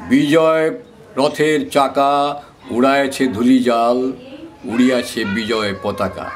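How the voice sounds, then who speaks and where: Male poetry reciter, mid-pitched, very slow and declamatory, deliberate pauses, slight background noise. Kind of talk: dramatic Bengali poetry recitation.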